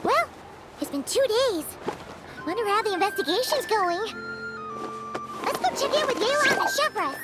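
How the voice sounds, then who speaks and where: A tiny chirpy fairy girl from a video game, with an extremely high, squeaky voice that bounces around in pitch. She sounds childlike and curious, with prominent music playing behind her. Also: lively intonation, moderate pace, long pauses. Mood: cheerful. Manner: speaks of self in third person.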